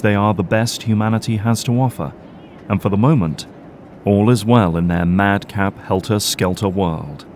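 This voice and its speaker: Lowish-voiced male dramatic narrator. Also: darkish tone, a little hiss or hum.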